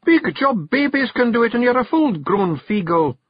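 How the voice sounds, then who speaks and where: A man voicing a small, sharp-tongued Scottish fairy clan queen who scolds and coaxes, in a dull, dry recording. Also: very high voice, big pitch swings, moderate pace, dry recording, voice pushed up, exaggerated voice. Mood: scolding. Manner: scolding, coaxing, artful.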